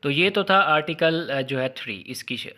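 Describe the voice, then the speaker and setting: Bright, forceful Pakistani male lecturer. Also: fairly high pitch, moderate pace. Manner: didactic, repeats key points, mixes in English terms. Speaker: law lecturer. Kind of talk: informal explanatory lecture.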